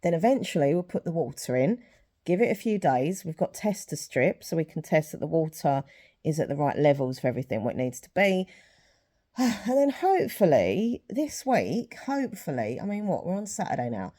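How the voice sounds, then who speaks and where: A woman's voice: sits low, sing-song, normal speed, mellow. A young woman chatting casually in a rambling vlog, a little sniffly with a sore throat. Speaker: vlogger.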